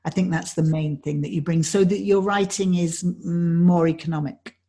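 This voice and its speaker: A woman being interviewed as an actor and director, chatting reflectively with a slightly husky voice. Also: fairly low pitch, steady tone, normal speed, warm tone, a little hiss or hum.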